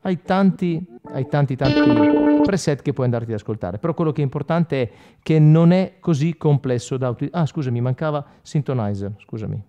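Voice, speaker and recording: A man's voice: a little high, animated, fairly quick, highs cut off. A man giving a casual, spontaneous product tutorial as a guitarist, in broken, informal phrases. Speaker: guitarist demonstrating an effects pedal. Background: electric guitar with effects.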